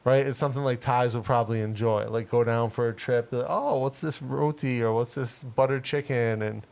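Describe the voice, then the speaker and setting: This is a man in his forties co-hosting a livestream, talking in an easy, rambling way. He leans on fillers and laughs readily, and his pitch sits at a plain, everyday middle. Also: brisk, gravelly. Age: 40s. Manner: relaxed, filler-heavy, laughs often. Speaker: livestream co-host. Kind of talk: casual livestream chat.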